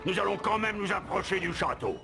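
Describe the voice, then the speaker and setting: An actor playing a blustering, cowardly castle henchman in a French cartoon dub, excitable in manner. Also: high-pitched, brisk, gravelly. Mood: menacing. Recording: loud music behind the voice.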